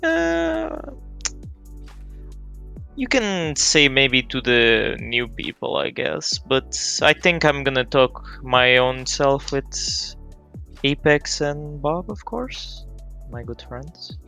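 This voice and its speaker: A man playing an elusive, courteous fantasy adventurer with formal, deliberate wording in an improvised roleplay. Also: medium pitch, sing-song, creaky, sharp tone, soft background music, full character voice. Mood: calm, assuring. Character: elusive, courteous male guild friend in fantasy world.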